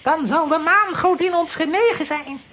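A woman playing a solemn, commanding, excitable witch leader in a children's radio play. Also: extremely high pitch, normal speed, muffled recording, slight background noise. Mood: solemn, exalted. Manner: ceremonial, commanding, exclamatory.

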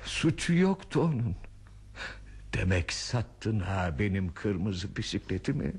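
An actor playing a stubborn, wistful old husband in a radio play, with an insistent, protesting manner. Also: male voice, lowish voice, sing-song, slow pace, darkish tone, slightly rough, full character voice. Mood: hurt, indignant. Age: older. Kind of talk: radio theatre acting.

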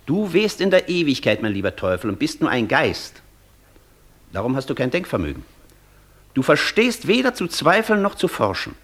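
Cool intellectual scholar, radio play, declamatory, precise, superior, rough-edged voice, swooping intonation. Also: male voice, mid-range voice, sing-song, normal speed, a bit bright. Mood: challenging, superior. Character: proud intellectual scholar, cool, challenging the Devil. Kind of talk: German radio play acting, theatrical.

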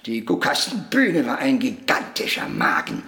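An actor playing an eccentric, boastful, cantankerous old avant-garde puppeteer in a radio drama, lecturing grandly and theatrically. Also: male voice, medium pitch, wildly swooping pitch, normal speed, gravelly, pushed and bright, heavily acted. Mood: proud, reminiscing. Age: elderly. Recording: echoey room.

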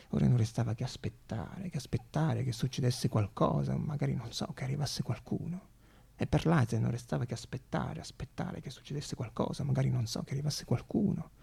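A man narrating a theatrical radio monologue as an actor, with dramatic pauses and repeated phrases. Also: medium pitch, vocal fry, warm tone, breathy edge.

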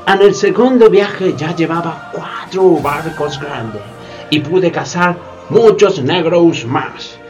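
A greedy, hypocritical sea captain. The voice is high and swoops up and down, taking its time with stammers and theatrical flourishes. It is a comic radio-play villain over music. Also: male voice, lively intonation, slow pace, lo-fi sound. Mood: self-satisfied. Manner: theatrical, stammering, peppers speech with English words. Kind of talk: community radio theatre acting. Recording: music bed behind the voice.

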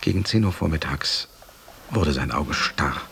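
An actor playing a sober companion who narrates a literary radio play in a grave, measured way. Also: male voice, very deep voice, lively intonation, gravelly, noisy background, exaggerated voice. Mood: grave, restrained. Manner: measured, grave, hushed at phrase ends. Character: sober male companion recounting a master's death.

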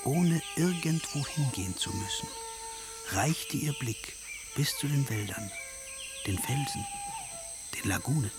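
A man narrating a myth for a radio drama in a solemn, measured storytelling manner. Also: medium pitch, expressive. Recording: music behind the voice.

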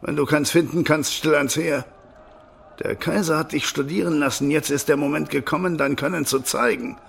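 An actor playing a scheming medieval chancellor in a radio play, giving orders with authority. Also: male voice, fairly high pitch, steady tone, normal speed, vocal fry, a little hiss or hum. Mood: firm, demanding. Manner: authoritative, instructing. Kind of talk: German radio play acting.

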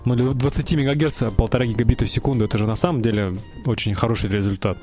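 A man's voice: mid-range voice, fairly even intonation, very fast, darkish tone, soft background music. A man in a casual technical discussion, explaining things and often correcting himself as he goes.

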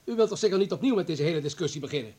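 A man's voice: a little high, animated, very fast, mellow, slightly rough. A man acting in a Dutch radio play as a pragmatic, calculating party comrade, urgent and persuasive. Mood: calculating argument.

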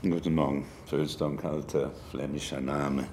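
An actor playing a pompous, formal, indignant Belgian diplomat in a radio crime drama. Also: male voice, rumbling low, flat delivery, normal speed, noisy background. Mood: stiffly indignant. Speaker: consular diplomat.

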